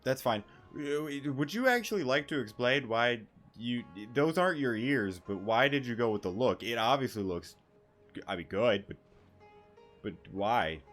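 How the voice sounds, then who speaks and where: A man's voice: medium pitch, lively intonation, moderate pace, fairly bright tone. A young man giving casual, rambling, joking reaction commentary as he plays a game. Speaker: gaming video host. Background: game music.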